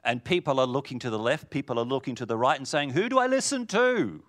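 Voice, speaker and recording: A man preaching a sermon in a booming voice, swinging from loud declarations to hushed lines. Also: expressive, fast talker.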